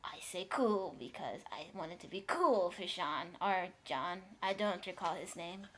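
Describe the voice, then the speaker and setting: A woman's voice: fairly low pitch, a little slow, a little hiss or hum. A woman playing a naive, rambling Mexican immigrant. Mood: vague, puzzled. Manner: hesitant, rambling, childlike deadpan. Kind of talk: improvised comedy character interview.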